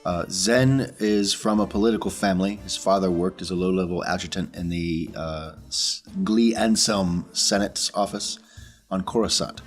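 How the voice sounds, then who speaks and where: A man's voice: low voice, normal speed, soft background music. A man talking casually as a player on a tabletop role-playing podcast. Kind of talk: casual character introduction and table talk.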